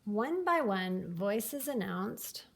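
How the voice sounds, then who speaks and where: Creaky-voiced woman reading a children's story. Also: medium pitch, very animated, very slow. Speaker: storybook reader. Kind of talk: scripted narration of a children's folktale.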